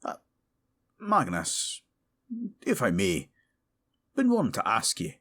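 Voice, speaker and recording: A man playing a gruff, suspicious but loyal dwarf with earthy, informal speech in a fantasy audio drama. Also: on the low side, huge pitch swings, slow, sharp tone, heavily acted. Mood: tentative.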